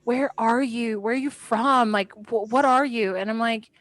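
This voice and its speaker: A woman in her thirties co-hosting a podcast in casual chat, blunt and animated, swearing when something annoys her. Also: on the high side, measured pace, no room sound. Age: 30s. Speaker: podcast co-host.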